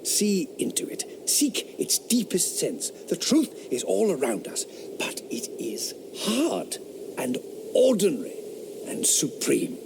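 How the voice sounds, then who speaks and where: Radio play: a scornful 1760s philosopher man, lecturing and whispering, gravelly, wide pitch swings. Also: huge pitch swings, slow, background noise, heavily acted. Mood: intense. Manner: sneering, lecturing, drops to intense whispers. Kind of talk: radio drama acting.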